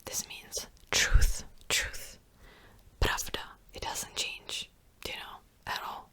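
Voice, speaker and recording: A woman whispering softly and close to the mic as she gives a gentle language lesson, slowly repeating words. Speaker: language teacher.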